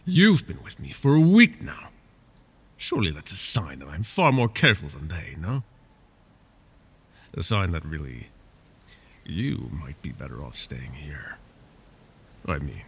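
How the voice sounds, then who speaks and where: A man voicing a possessive, scheming male dragon in an ASMR roleplay, teasing and drifting into soft whispers. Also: slight background noise, exaggerated voice. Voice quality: gravelly, low.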